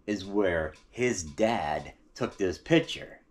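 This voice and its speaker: Low-voiced American man, lively, chatty vlogger. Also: takes it slowly. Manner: folksy, chatty, addresses viewers directly. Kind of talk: casual storytelling vlog about local history. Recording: noisy, with ambient vehicle sounds.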